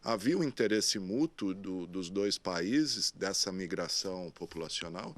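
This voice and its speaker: A man's voice: sits low, animated, unhurried. A man hosts a local television interview and asks his questions plainly and conversationally. He often closes with a short check for agreement. His voice sounds dry and close, with no room echo. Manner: conversational, prompting with tag questions.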